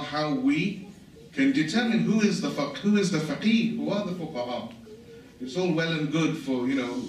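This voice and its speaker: Man lecturing in a very echoey hall. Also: medium pitch. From England. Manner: conversational, explanatory, with asides and self-corrections. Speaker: religious lecturer. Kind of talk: informal religious lecture. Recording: echoey hall, voice from far off, faint hiss.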